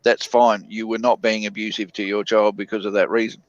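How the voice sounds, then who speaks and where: A man talks in a relaxed group conversation, often stopping to restart his sentences. His voice sounds a little dull and close, with no room around it. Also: fairly low pitch, dry recording. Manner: halting, with many restarts and fillers. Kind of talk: casual group chat.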